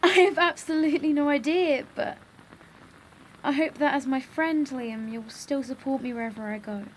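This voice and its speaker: An actress playing a firm, wistful young video maker, earnest and plain-spoken, in an audio drama. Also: female voice, sits high, normal speed, slight background noise, natural voice. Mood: resolute, wistful.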